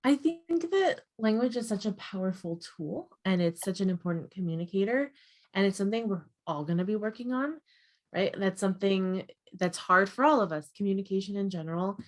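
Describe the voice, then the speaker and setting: A woman's voice: mid-range voice, animated, a little fast. A young adult woman, a guest expert in a webinar conversation, explaining earnestly and often tagging her points with "right?". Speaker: guest expert on mental health.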